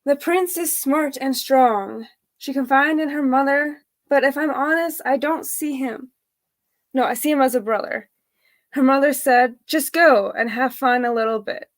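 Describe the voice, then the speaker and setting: A woman's voice: high voice, a little slow. A woman reading a picture book as a guest, friendly and chatty, with casual asides to the audience. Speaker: drag performer, guest storybook reader.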